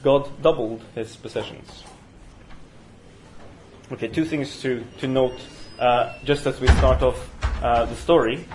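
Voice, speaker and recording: Male lecturer, Norwegian accent, mid-pitched, hesitant and full of restarts, faint hiss behind. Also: steady tone, darkish tone, poor audio. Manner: halting, many fillers and restarts. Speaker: teacher leading a study session. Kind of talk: university seminar lecture. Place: university room. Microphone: single room mic.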